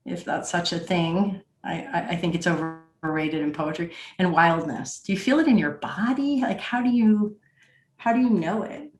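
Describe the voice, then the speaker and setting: A woman hosting a conversational podcast interview, responsive and quick to affirm what she hears. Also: lowish voice. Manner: responsive, frequent affirming murmurs. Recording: roomy, echoey sound.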